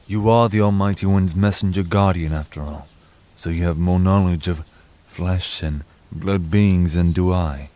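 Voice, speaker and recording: A deep, dark-toned man's voice for a cautious guardian spirit in an audio drama. He is courteous and formal, choosing words with care as he questions. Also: steady tone, a little slow. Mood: doubtful, courteous. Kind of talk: scripted audio drama acting.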